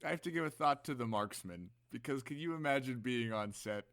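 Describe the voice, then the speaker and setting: A man's voice: medium pitch, lively intonation, brisk, a bit bright. Playful male podcast co-host. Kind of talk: casual chat with playful riffing.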